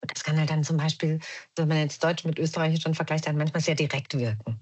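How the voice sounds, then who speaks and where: This woman speaks in a somewhat low voice with a German accent, pausing and restarting often as she reflects. She talks as a podcast guest in easygoing conversation. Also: fairly even intonation, breathy edge. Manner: thoughtful, hesitant, many restarts and fillers.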